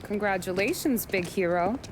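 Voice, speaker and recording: A woman's voice: mid-range voice, animated, normal speed, natural voice. An actress playing a sassy, teasing woman in a bar in a video game cutscene. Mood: teasing, friendly. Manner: wry, teasing. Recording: clear background noise.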